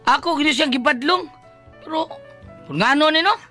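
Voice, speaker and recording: A man playing an excitable, aggrieved husband and luckless bettor in a comic radio drama, with a Cebuano accent and whiny, exclamatory complaints. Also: very high-pitched, normal speed, over music. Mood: weary, explaining.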